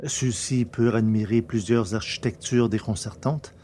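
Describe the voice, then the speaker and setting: A male narrator reads a science fiction tale in a middle-range voice. His delivery is scripted, measured and literary, in the manner of an audiobook. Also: mid-range voice, fairly even intonation, warm tone, slight background noise.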